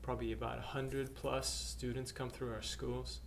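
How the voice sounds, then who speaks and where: A middle-aged man from Norway shares his missionary testimony at an even, mid-range pitch. He talks haltingly, full of filler sounds and restarts. A faint hiss of noise sits under the recording. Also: vocal fry. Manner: hesitant, many uhs and restarts. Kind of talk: personal testimony to camera.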